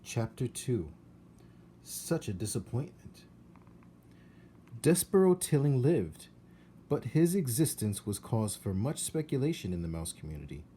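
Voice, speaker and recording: A man narrates a children's story with dramatic, deliberate emphasis. His voice is of middling pitch, mellow and very animated, recorded with some background noise. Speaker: read-aloud storyteller.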